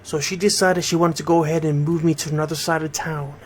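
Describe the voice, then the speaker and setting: A man's voice: a little high, fairly even intonation, quick pace. A middle-aged American man from Connecticut hosting a radio show, talking informally and directly to his listeners. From Bridgeport, Connecticut, United States. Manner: conversational, addresses listeners directly. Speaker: radio host and DJ.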